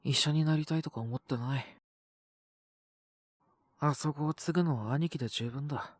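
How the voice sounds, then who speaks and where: A man voicing a cocky, possessive young wolf-boy boyfriend with a cold, in an intimate audio roleplay, speaking bluntly and teasingly in a breathy, husky voice. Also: fairly high pitch, normal speed, dry recording, studio quality, natural voice. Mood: sullen, aloof. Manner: blunt, rough casual speech, teasing, languid. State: sick with a cold and cough. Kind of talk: Japanese situation-voice roleplay aimed at the listener.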